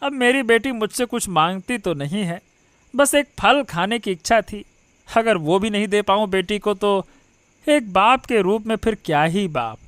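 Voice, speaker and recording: A man's voice: high-pitched, animated, measured pace, a bit bright, clear, resonant tone, no room sound. A man playing a doting, guilt-ridden poor village father in a cartoon moral story, tender and fretful. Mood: tender, eager. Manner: tender, fretful, musing aloud.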